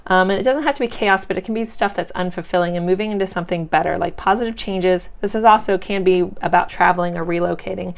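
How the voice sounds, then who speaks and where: A woman's voice: lowish voice, fast talker, gravelly, hardly any pauses. A woman giving a casual pick-a-card tarot reading, chatty and reassuring, her voice congested and cracking from sinus trouble. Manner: chatty and reassuring, loose with many fillers. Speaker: tarot reader.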